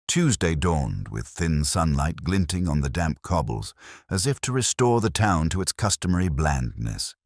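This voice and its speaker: A man narrating a detective mystery in a measured, wry storytelling manner. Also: rumbling low, no room sound, clean studio sound.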